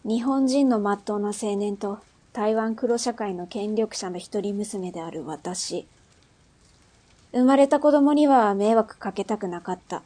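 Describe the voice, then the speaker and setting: An actress playing a tough, brusque female underworld boss and mother in a radio drama, speaking in a rough, mannish, commanding way. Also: mid-range voice, unhurried, warm tone, lightly acted. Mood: guarded, regretful. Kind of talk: Japanese radio drama acting.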